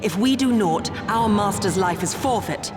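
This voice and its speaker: A woman's voice: mid-range voice, expressive, moderate pace, music in the background, close to an everyday voice. An actress playing a grave, determined shinobi woman in a video game, with solemn, formal, archaic speech. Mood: fierce, resolute. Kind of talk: dramatic video game voice acting.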